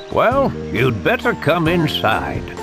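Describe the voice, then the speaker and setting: A man voicing a feeble, kindly old sage in a video game, with creaky, theatrical, old-fashioned speech. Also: a little high, wildly swooping pitch, extremely slow, exaggerated voice. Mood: pensive, gentle. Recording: music under the voice.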